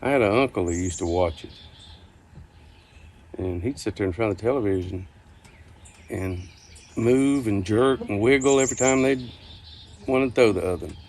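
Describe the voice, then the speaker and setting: An older man reminiscing casually, telling stories in a folksy, unhurried, halting way. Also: on the low side, a little mellow, slight background noise. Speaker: storyteller. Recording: outdoor ambience with birdsong behind. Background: birdsong, outdoor ambience.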